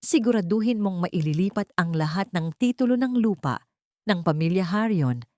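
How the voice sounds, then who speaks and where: A woman's voice: medium pitch, lively intonation, normal speed, darkish tone, dry recording, studio quality, close to an everyday voice. A woman narrator with a Filipino accent voicing a greedy, scheming old matriarch who gives conspiratorial orders in an audio story. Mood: greedy, commanding. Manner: conspiratorial, commanding.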